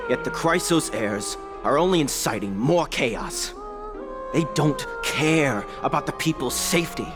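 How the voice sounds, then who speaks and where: A man's voice: mid-range voice, expressive, unhurried, music in the background, close to an everyday voice. An actor playing an anxious, disgruntled townsman with a grave, indignant manner in a video game. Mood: indignant worry.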